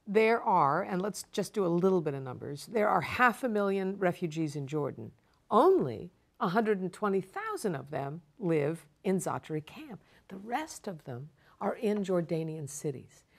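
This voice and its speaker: Woman guest expert, low voice, calm measured explanatory delivery for a broadcast interview. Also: vocal fry. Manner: measured, explanatory, emphatic repetition.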